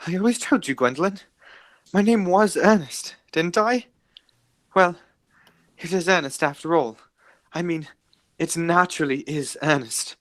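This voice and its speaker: A performer playing an earnest, romantic young English gentleman, declaiming in a radio play of a stage comedy. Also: mid-range voice, measured pace, long pauses, no echo, natural voice. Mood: pleased.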